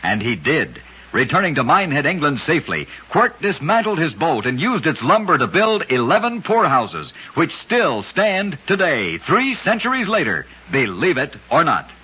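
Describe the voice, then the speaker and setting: A man with a husky voice giving dramatic scripted narration for an old radio show. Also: a little high, huge pitch swings, moderate pace, hardly any pauses, bright, forceful tone. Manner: dramatic storytelling delivery. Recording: dull lo-fi old radio recording.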